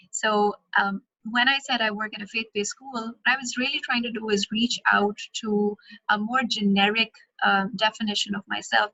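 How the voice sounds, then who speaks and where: A woman in her thirties, from Pakistan, delivers a measured academic talk with plenty of ums. Her voice is bright and crisp, but her pitch stays flat and even. The recording is dry and a little dull, like a video call. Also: no echo. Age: 30s. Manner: measured, thoughtful, frequent ums. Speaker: conference presenter. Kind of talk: academic presentation. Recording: muffled lo-fi audio.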